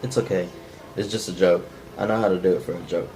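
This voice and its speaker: A man's voice: low voice, fast talker, faint music behind, low-quality recording. A man reading the part of a menacing, bitter drifter in an unpolished script table read. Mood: sly menace. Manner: flat, unpolished amateur line reading. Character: menacing, bitter, down-on-his-luck male drifter intruder.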